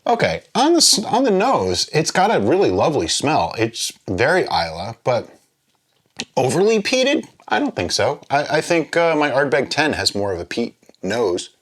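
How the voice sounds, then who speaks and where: A man hosting a drinks review vlog, chatting casually and explaining as he muses aloud, with pauses and little check-ins. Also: medium pitch, huge pitch swings, breathy edge. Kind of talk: casual chat, tasting review.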